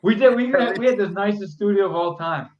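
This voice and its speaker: A man in his forties hosting a podcast, bantering loudly and laughing, calling people "dog". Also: high voice, a little fast, a crowd in the background. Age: 40s. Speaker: podcast host. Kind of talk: casual chat. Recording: dull lo-fi sound with faint noise.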